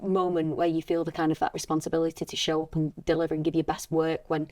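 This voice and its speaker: A woman with a slightly husky voice, talking casually and reflectively as a voice actress on a podcast. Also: sits low, level tone, quick pace, a little mellow.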